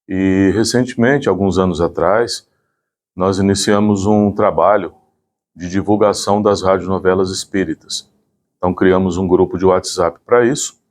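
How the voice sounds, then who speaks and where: A man's voice: sits low, darkish tone. A man gives an informal explanation. He speaks as a volunteer presenting community work.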